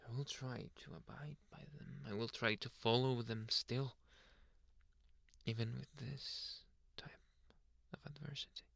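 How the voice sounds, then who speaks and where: A man voicing a devoted, possessive young alpha werewolf boyfriend in an intimate anime ASMR roleplay, breathy and often dropping to a whisper. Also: medium pitch, steady tone, dry recording. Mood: earnest, devoted. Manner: slow, sighing, soft murmured endearments. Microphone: close microphone, dry.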